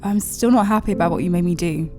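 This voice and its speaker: A woman's voice: on the low side, fast, mellow, faint music behind, close to an everyday voice. A woman playing a wary, sardonic wronged woman with a dry, sceptical delivery in an audio drama. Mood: displeased. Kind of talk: dramatic dialogue.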